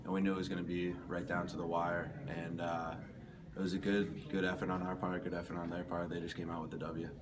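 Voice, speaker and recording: A young team captain answers postgame questions in a hallway, steady and measured with fillers. His voice is very low and almost monotone. Recorded from a little way off, with loud background noise behind him. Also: recorded from a distance. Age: young adult. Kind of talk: postgame interview. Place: indoor hallway.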